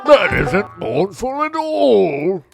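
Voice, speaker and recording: A grumpy big bear with a cold, wheezes and growls his grievances. The delivery is very slow and theatrical, rising and falling widely in pompous, self-pitying melodrama. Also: high-pitched, very animated, vocal fry, over music, pitched above the performer's own voice, exaggerated voice. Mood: indignant. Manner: melodramatic, pompous, drawn-out complaining. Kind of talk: children's storybook read-aloud.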